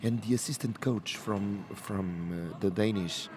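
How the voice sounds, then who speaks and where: A man's voice: on the low side, measured pace, background noise. A man whose first language is not English, chatting as co-commentator at a match, casual and self-deprecating with frequent restarts. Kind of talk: casual sports commentary chat.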